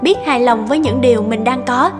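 A woman narrator delivers a scripted moral in a high voice. Clear music runs behind her reading. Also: brisk, in a large hall.

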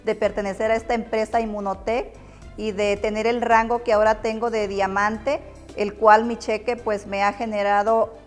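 Middle aged mexican woman, pretty monotone, grateful, music behind. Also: medium pitch. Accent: Mexican Spanish. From Michoacán, Mexico. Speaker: company testimonial speaker. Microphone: lapel mic.

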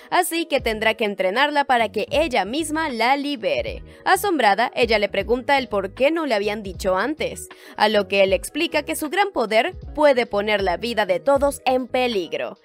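A woman with a high-pitched voice narrates a scripted drama summary. She tells the plot as a storyteller would in a recap video. Music plays behind her voice. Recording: voice-over with music underneath. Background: background music.

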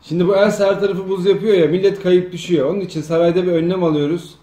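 High-pitched man giving bossy prompts. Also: steady tone, fast, dark tone. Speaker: off-camera host directing a family comedy skit. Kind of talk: casual improvised skit banter. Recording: roomy echo with faint noise.

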